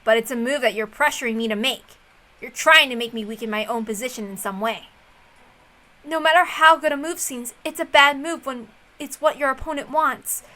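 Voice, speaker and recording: A woman plays a calculating sorcerer brooding over a mystery duel in a visual novel. The voice is high and bright, with serious, deliberate, dramatic delivery. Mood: tense, wary.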